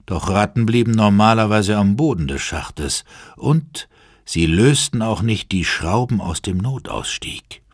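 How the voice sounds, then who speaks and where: A man's voice: fairly low pitch, lively intonation, measured pace, husky, no echo, studio quality. A man narrating an audio drama in a dramatic, scripted style.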